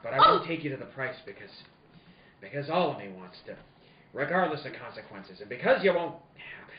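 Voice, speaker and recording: A man's voice: mid-range voice, animated, fairly quick, natural voice. A man playing a tough, cynical private detective in a film-noir radio play, terse and sardonic. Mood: bitter, torn. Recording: roomy, distant, dull, faintly noisy recording.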